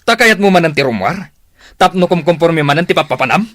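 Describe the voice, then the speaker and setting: A man's voice: fairly high pitch, expressive, a little fast, fairly bright tone, no room sound, clean studio sound. An actor playing a controlling, stingy husband and father in a radio drama, with an Ilocano accent and an insistent manner. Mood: angry, commanding. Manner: bossy, insistent.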